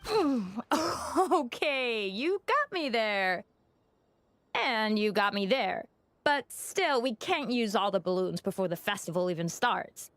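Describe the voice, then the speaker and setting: A young game acolyte with a high, very lively voice. She speaks at a very slow, drawn-out pace, teasing yet dutiful. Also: dry recording, exaggerated voice. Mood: good-natured. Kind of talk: scripted video game dialogue.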